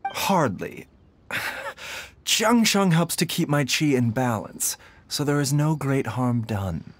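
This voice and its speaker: A man voicing a calm, secretive young physician who speaks softly and measuredly, with a gently teasing edge, in a video game. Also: medium pitch, slow pace, a little hiss or hum. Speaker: doctor.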